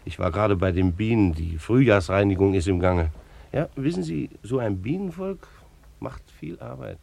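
A man's voice: on the low side, lively intonation, normal speed, gravelly, a little mellow. An actor in a German radio play, playing a retired bailiff and beekeeper in his sixties, dutiful, fussy and wounded, with a formal, reflective manner. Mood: courteous. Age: 60s. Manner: formal, reflective, old-fashioned official's wording. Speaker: actor narrating in character.

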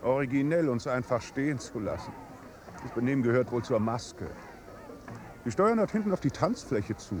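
An actor as a stiff, swooping old duke. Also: male voice, mid-range voice, animated, normal speed, darkish tone, a little hiss or hum, close to an everyday voice. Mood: dignified, affronted. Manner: courtly, clipped, snobbish. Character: stiff, formal older aristocratic duke. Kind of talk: 1950s German radio drama acting.

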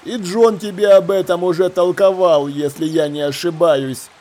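Male narrator as pirate boss, cool menace, drawn-out deliberate phrases, commanding, adventure audiobook villain. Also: high voice, steady tone, a little mellow, lightly acted. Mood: cool, menacing. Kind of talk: acted audiobook dialogue.

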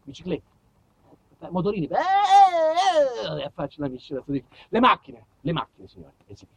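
A man's voice: high voice, very animated, moderate pace, gravelly, dry recording, muffled recording. A man delivering a theatrical storytelling monologue, emphatic and declamatory, repeating phrases for effect. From southern Italy. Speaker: actor-storyteller.

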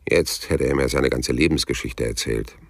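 An actor playing a terse, world-weary private detective with a gravelly voice and a dry, blunt manner in a radio crime drama. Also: male voice, extremely low pitch, normal speed. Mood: brooding narration. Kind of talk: radio crime drama acting and first-person narration.

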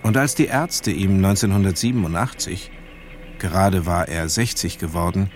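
An actor playing a reflective grown son who narrates memories of his father in a radio drama, measured and deliberate. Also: deep voice, soft background music, natural voice. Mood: sober, melancholy.

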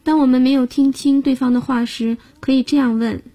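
High-voiced woman teacher with a breathy tone. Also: steady tone, brisk, mellow. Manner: patient, explanatory, guiding the listener step by step. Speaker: language teacher and host. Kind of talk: radio lesson explanation.

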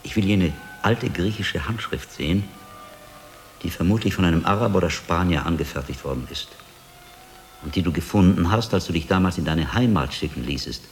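Deep-voiced, learned monk investigator. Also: male voice, creaky, faint music behind. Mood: insistent, firm. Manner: deliberate, insistent, erudite, articulate. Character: shrewd, learned middle-aged monk investigator, William of Baskerville. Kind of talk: German radio drama acting. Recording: long hall reverb, background noise.

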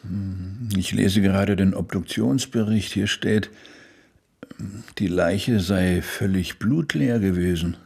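An actor playing a cool, politely condescending police inspector in a German radio drama, with a gravelly, husky voice and a measured, probing manner. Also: male voice, low-pitched, darkish tone, exaggerated voice.

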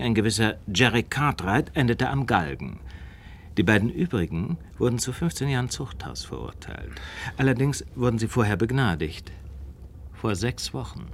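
A brilliant detective in a radio drama. He is precise, superior and theatrical, with very lively intonation that swings between low asides and emphatic points. Faint noise sits behind the voice. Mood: lecturing. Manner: articulate, lecturing, theatrical. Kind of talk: German radio play acting.

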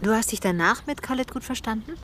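An actress playing a determined, inquisitive investigator in a radio drama, both narrating and questioning in a direct way. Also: female voice, a little high, lively intonation, fast, a bit bright, a little hiss or hum. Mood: probing.

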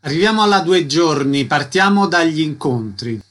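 A male radio host with a slightly high voice. He presents guests and puts questions to them in the scripted style of a broadcast interview. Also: expressive, moderate pace, fairly bright tone.

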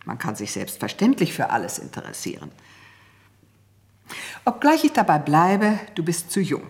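A prim older lady in a radio play. Her voice sits fairly low and moves in wide, lively swoops. She speaks with precise, mannered diction, motherly and admonishing, with a relish for scandal. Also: moderate pace. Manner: theatrical, precise, mannered delivery. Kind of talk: German radio play acting, reading a newspaper aloud and conversing.

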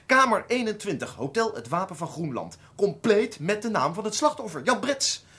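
A man's voice: sits high, big pitch swings, normal speed, sharp tone, heavily acted. A man acting in a radio drama as an eager, indignant young police detective, insistent and argumentative. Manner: insistent, argumentative, stammering when challenged.

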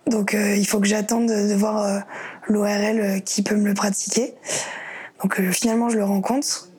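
A woman's voice: medium pitch, flat delivery, normal speed, darkish tone. A young woman telling her story in an interview, hesitant and reflective with many fillers. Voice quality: very breathy, husky voice. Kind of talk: personal testimony interview.

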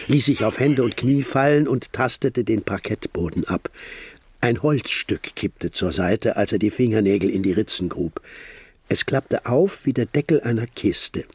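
An actor playing a detective's admiring companion, narrating a radio drama in a measured, literary storytelling manner. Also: male voice, medium pitch, darkish tone, slightly rough, no echo. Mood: tense narration.

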